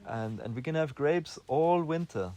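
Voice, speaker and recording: A young man from Austria answering interview questions in a relaxed, thoughtful way, often restarting his sentences and filling pauses with "um". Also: a little high, low-quality recording. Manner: thoughtful, many restarts and fillers. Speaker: interview guest.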